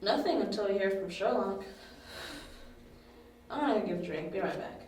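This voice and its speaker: Woman acting as calm detective's confidante, patient and explanatory, amateur audio drama, very echoey room, off-mic. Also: medium pitch, moderate pace, natural voice. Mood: matter-of-fact. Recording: distant echoey room, faint noise.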